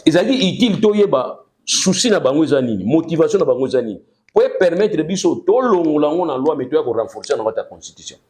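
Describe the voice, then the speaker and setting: A man's voice: fairly high pitch, sing-song, fast talker, a little mellow. Congolese man explaining at length. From Congo-Kinshasa. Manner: explains at length, mixing French and Lingala. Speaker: political guest. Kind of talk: political talk show discussion.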